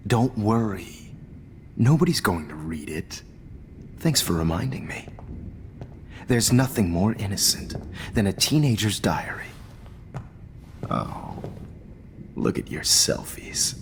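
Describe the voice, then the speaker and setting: A man's voice: fairly low pitch, animated, slow, pauses often, slight background noise. A man voicing a smug, manipulative photography teacher in a video game, taunting and often whispering. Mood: menacing. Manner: taunting, mocking, drops into whispers.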